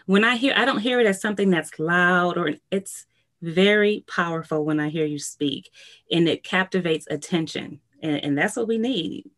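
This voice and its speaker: A woman hosts a podcast and talks with her guest in a relaxed, conversational way. She answers in an affirming, encouraging manner, at a slightly low pitch. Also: normal speed. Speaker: podcast host. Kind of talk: casual interview conversation.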